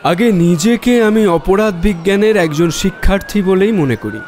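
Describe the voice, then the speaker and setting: An actor playing a polite, sharp, self-assured amateur detective in an audio drama, speaking in a measured, quietly probing way. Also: male voice, high-pitched, natural voice. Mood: polite, modest.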